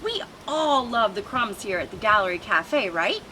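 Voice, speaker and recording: A woman voicing an excitable, insistent little male pigeon with a squawking delivery in a storybook reading. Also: mid-range voice, normal speed. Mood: delighted. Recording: noisy outdoor recording with traffic.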